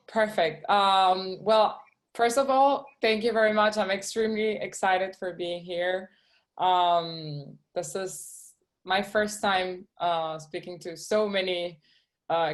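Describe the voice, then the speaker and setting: Woman, guest designer talk to kids, eager, video call. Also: fairly low pitch. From Venezuela. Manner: eager, often checks in with "okay?". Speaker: guest speaker, shoe designer. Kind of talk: presentation to schoolchildren.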